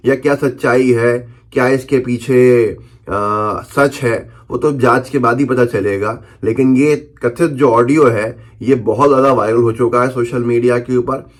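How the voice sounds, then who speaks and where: A man's voice: mid-range voice, steady tone, unhurried. Man giving emphatic political news commentary. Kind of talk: unscripted political news commentary, reading out newspaper headlines.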